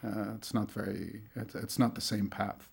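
Low gravelly-voiced man from Tunisia. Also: flat delivery, normal speed, a little mellow. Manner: thoughtful, hesitant with many fillers. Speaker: lecturer in Arabic studies. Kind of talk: conversational interview.

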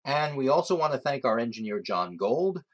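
A man's voice: mid-range voice, very animated, moderate pace. A man hosting a talk show about music, with a light, self-deprecating way of speaking. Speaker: host. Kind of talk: radio-style show hosting. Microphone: remote recording outside the studio.

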